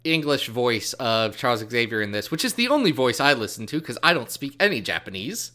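A man's voice: mid-range voice, very animated, fairly quick, bright, forceful tone. A man from the American Midwest co-hosting a podcast, with dry, teasing jokes in casual chat. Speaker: podcast co-host.

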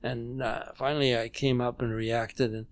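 Halting man in his nineties. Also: medium pitch, level tone, no echo. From Poland. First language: German. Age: 90s. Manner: halting, measured, with frequent restarts. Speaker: interview guest. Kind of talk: recounting memories in conversation. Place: home dining room.

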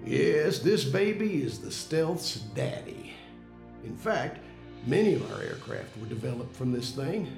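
An actor playing a confident, secretive base commander in an audio drama, speaking in a self-assured, expository way with a gravelly voice. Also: male voice, a little high, big pitch swings, a little slow, over music, exaggerated voice. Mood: proud.

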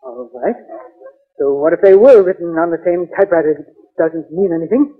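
A man's voice: on the high side, animated, brisk. A male actor playing a nervous, evasive killer who speaks hesitantly and stammers, in 1940s radio drama style. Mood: defensive. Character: nervous, evasive male blackmail victim turned murderer. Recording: narrow, tinny 1940s radio recording.

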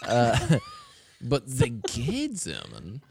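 A man chatting on a comedy podcast, riffing loosely and laughing between jokes. His pitch swings in a lively, animated way as he talks. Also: extremely slow, creaky, crowd noise. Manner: joking, laughs often, does goofy voices. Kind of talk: casual comedic chat.

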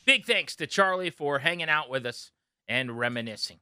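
A man's voice: fairly high pitch, lively intonation, normal speed, bright, forceful tone, clear, resonant tone, no room sound. A man hosting a sports podcast in a conversational interview style, close on a studio microphone.

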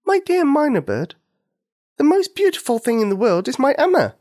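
A woman's voice: very animated, normal speed, a little mellow. Woman doing a sweet little girl. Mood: earnest. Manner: high, pleading childlike voice.

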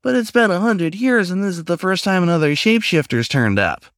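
A man's voice: animated, fairly quick, a bit bright, no echo, clean studio sound. A man voicing an eager, chatty, lonely talking raccoon in a story, lecturing and pleading. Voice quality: squeaky when pleading.

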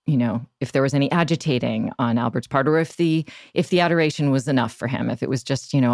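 Woman, podcast host, gravelly fry, reflective phrasing, conversational interview, dry close sound. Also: rumbling low, a little mellow, no echo.

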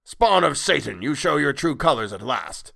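A man's voice: fairly low pitch, animated, fairly quick. A man voicing a fanatical, furious clergyman villain in a storybook reading, with pompous, archaic, ranting speech. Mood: righteous fury. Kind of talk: audiobook character acting.

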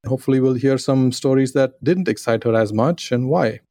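A man hosting a podcast, opening with formal introductions and asking questions in a measured way. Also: medium pitch, warm tone, dry recording, studio quality. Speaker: podcast host. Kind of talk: podcast interview.

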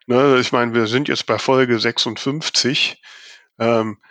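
A man's voice: mid-range voice, fairly even intonation, slow, a bit bright. A man hosting a casual podcast conversation, often hesitating and searching for his words. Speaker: podcast host.

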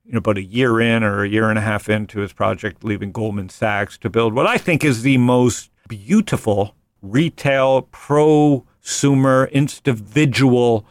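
A man hosting a relaxed finance podcast, joking and wandering off into quick asides. His voice sits in a middle range and sounds close and dry, with no room around it. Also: medium pitch, no room sound. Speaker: podcast host and venture investor. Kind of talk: casual finance podcast chat.

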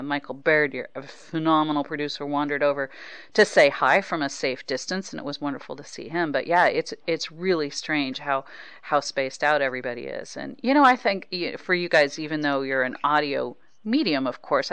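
A woman hosts a casual sports interview in a clear, smooth voice. She talks conversationally and with ready approval, and the sound is close and dry. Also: low-pitched, a bit bright, no echo. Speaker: sports podcast host.

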